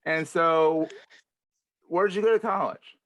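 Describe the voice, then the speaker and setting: A man's voice: fairly high pitch, unhurried, fairly bright tone, no room sound. A man hosting a podcast, interviewing in a casual, conversational way. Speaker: podcast host.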